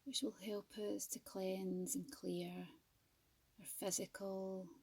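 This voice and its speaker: A woman teaching yoga nidra as a guided meditation, speaking softly and soothingly with gentle, measured pauses. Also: mid-range voice, level tone, slightly rough, rough recording.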